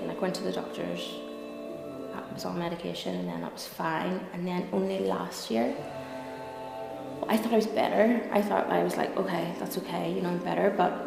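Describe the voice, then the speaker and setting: A young woman with a Belfast Northern Irish accent talks candidly in an interview, conversational and peppered with "like" and "you know". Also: fairly low pitch. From Belfast, Northern Ireland. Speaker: interview guest, lawyer and content creator. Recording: echoey reverberant room, clear music behind. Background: music.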